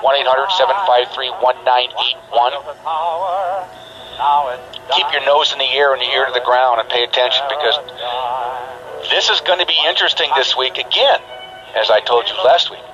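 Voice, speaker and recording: Guy lecturing on a radio show, keeps hammering the same point, muffled shortwave sound with music under it. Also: mid-range voice. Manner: repetitive, emphatic lecturing. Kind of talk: talk radio monologue. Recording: dull shortwave radio reception.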